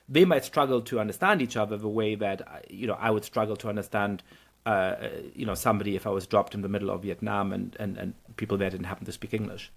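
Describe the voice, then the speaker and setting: A man's voice: fairly low pitch, breathy edge. A middle-aged man hosting an interview podcast, thinking aloud with stumbling repetitions. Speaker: podcast host. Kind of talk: conversational interview.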